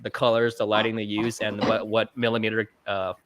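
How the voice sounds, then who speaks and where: A man's voice: fairly low pitch, monotone, fairly quick, background noise. A man guesting on a livestream interview, talking casually about his filming work with lots of fillers and self-deprecating jokes. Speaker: guest, fish channel videographer. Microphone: video call mic.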